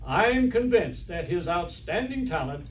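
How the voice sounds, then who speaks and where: A man's voice: high voice, sing-song, normal speed. A man playing a pompous, blustering, hard-of-hearing school principal in an old-time radio comedy on stage. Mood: oblivious. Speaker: amateur stage actor. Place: live stage performance in a hall. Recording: echoey hall, distant mic, faint noise.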